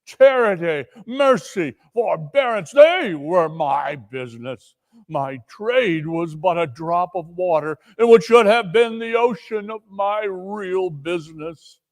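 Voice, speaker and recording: A man playing an anguished ghost of a dead businessman in a dramatic reading, declaiming mournful, drawn-out warnings. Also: high voice, lively intonation, heavily acted. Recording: long reverberant hall echo.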